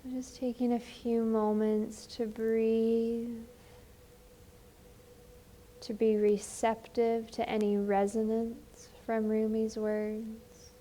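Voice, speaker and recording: Slow, mellow woman guiding meditation. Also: on the high side, flat delivery, slight background noise. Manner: gentle, soothing, unhurried with long pauses. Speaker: guided meditation teacher. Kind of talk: guided meditation, gentle slow instruction.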